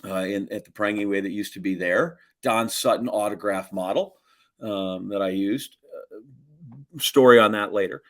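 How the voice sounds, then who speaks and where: A man's voice: on the low side, moderate pace. A man, chatting casually and telling rambling, folksy anecdotes as a podcast co-host. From Wisconsin, United States.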